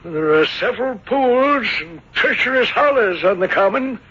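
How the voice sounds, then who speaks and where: A man's voice: sits high, animated, normal speed, slightly rough. An actor playing a flustered, defensive small-town innkeeper in a radio drama, stammering and folksy as he grumbles. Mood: defensive, recounting. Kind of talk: 1970s radio drama acting. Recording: dull lo-fi old radio broadcast.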